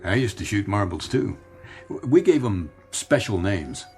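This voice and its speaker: A man's voice: lowish voice, big pitch swings, measured pace, a little mellow, faint music behind. A man playing a weary, nostalgic middle-aged businessman who muses wistfully in a radio drama. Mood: reminiscing. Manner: wistful, musing.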